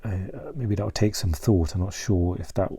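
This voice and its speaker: A man speaking softly and haltingly in a confessional vlog, searching for words and at times trailing toward a whisper. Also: deep voice. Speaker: mental health vlogger.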